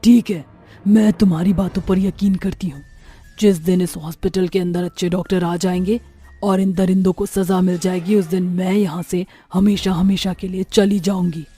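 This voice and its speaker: बदले की आग वाली युवा चुड़ैल बनी एक औरत, दबी-धुंधली आवाज़. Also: female voice, lowish voice, steady tone, moderate pace, mellow, breathy edge. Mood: relenting. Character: vengeful young female witch, wronged ghost of a patient. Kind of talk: Hindi cartoon horror story acting. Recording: faint music underneath.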